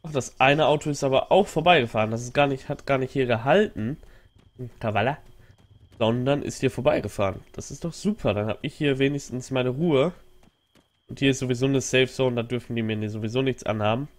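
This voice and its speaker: A man's voice: mid-range voice, brisk. A young man with a stuffy nose from a cold, rambling casually and thinking aloud while he streams a game on a headset mic at home. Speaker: livestreaming gamer. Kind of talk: casual let's play commentary. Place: home gaming setup indoors.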